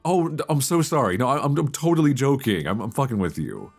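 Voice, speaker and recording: A man's voice: medium pitch, big pitch swings, fairly quick, faint music behind. A man playing a playful, easily flustered old friend in an intimate, conversational romantic audio roleplay, teasing and stammering as he chats. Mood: flustered, apologetic. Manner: teasing, stammering, chuckling banter.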